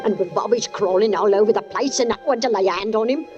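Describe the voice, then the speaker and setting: A woman's voice: medium pitch, quick pace, darkish tone, highs cut off, faint music behind, lightly acted. An actress playing an indignant, frightened working-class woman in a 1940s radio drama.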